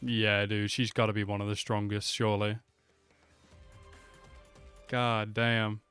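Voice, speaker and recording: A man's voice: lowish voice, flat delivery, takes it slowly, a bit bright, clear, resonant tone, soft background music. A man in his twenties giving slangy, offhand reaction commentary into a close desk microphone. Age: 20s. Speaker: reaction video host.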